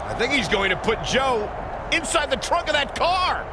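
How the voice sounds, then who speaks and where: A man playing an excited wrestling play-by-play announcer, calling the action in an emphatic announcer's delivery. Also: extremely high pitch, moderate pace. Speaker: lead wrestling play-by-play commentator. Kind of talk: pro wrestling commentary. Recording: loud crowd and slamming arena noise.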